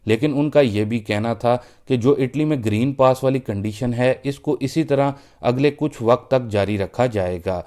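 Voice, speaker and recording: A man's voice: mid-range voice, normal speed, hardly any pauses, mellow. A man presenting a news roundup for his online channel, reading the updates steadily and addressing viewers as friends. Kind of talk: read news update in Urdu.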